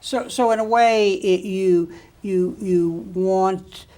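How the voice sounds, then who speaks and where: A man's voice: high voice, fairly even intonation, slow pace, dark tone. A man hosting an interview show, who reads a formal introduction and then asks questions that sometimes stop and start. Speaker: interview host.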